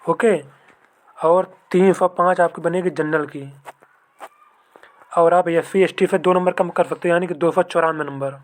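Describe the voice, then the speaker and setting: A man's voice: a little high, fairly even intonation, a little slow, long pauses. A young man explains informally to viewers, repeating himself and often checking in with an okay. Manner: repetitive, addresses viewers as brothers, frequent "okay" check-ins. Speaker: exam-prep video host, fellow candidate. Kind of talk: informal explainer of mock test scores and cut-offs.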